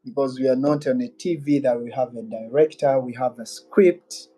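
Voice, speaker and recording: A man's voice: mid-range voice, moderate pace, dark tone, breathy edge. A man with a Ugandan accent hosting a casual live-stream Q&A, rambling and musing aloud as he reads out viewer comments. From Western Uganda.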